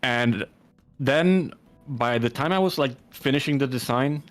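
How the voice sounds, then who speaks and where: A man's voice: mid-range voice, unhurried, soft background music. Blunt, foul-mouthed man telling a story. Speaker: podcast guest. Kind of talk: casual podcast chat. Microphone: Discord call.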